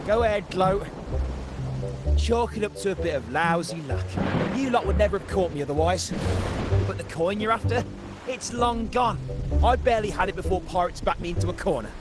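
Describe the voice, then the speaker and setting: An actor playing a shifty, cowardly petty thief in a video game, whiny and grudging. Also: male voice, sits high, animated, normal speed, over loud music. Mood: sullen.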